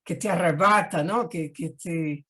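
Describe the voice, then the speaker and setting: A woman's voice: on the low side, measured pace, sharp tone. An older Brazilian woman speaking Spanish with a Portuguese accent, chatting expressively over a video call and dotting her talk with tag questions. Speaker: guest artist. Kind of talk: casual interview chat.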